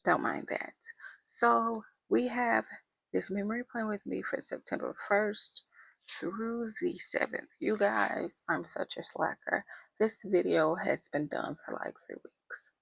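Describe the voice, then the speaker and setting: Woman, raspy and gravelly, bright forceful tone, chatty planner voice-over, loose with ums and likes. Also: mid-range voice, slow pace, no room sound, poor audio. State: voice rough from first talking of the day. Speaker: planner vlogger. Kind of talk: casual voice-over chat. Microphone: voice-over mic.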